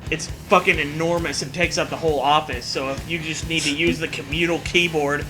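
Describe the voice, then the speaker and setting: A man's voice: fairly high pitch, steady tone, a little fast. A young man riffing casually and comically as a co-host, sarcastic, exaggerated and freely profane. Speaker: co-host commenting on fan fiction. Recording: music playing behind the voice. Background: background music.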